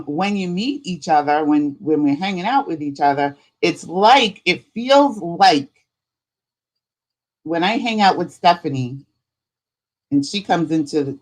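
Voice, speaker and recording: A woman hosting a live spirituality stream, chatting casually in an unhurried, rambling way. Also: low-pitched. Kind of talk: casual livestream chat.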